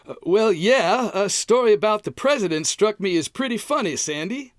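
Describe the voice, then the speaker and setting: A man plays a folksy, stubborn husband from an old-time radio comedy. His pitch swings widely as he hems and haws, and the sound is dry and close. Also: expressive, normal speed, no room sound. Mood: amused. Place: recorded at home, dry and close.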